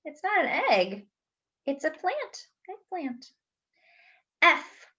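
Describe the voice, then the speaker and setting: A woman reading a picture book aloud to young children, enunciating deliberately and adding playful asides as a story-time host. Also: high-pitched, very animated, sharp tone, highs cut off.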